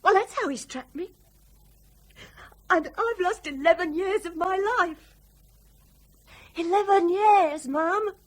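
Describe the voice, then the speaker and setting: A woman's voice: very high voice, takes it slowly, long pauses. An actress in a radio drama playing a bitter, trapped wife and mother, fed up and scornful. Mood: aggrieved.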